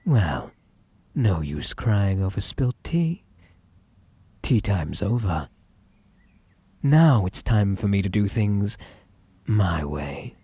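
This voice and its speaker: Low, creaky, possessive British bunny man, acted by a man. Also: warm tone, no echo, exaggerated voice. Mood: sinister calm. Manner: theatrical, coaxing, drawn-out polite endearments. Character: possessive, obsessive yandere bunny man, sweetly menacing. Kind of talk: ASMR roleplay acting.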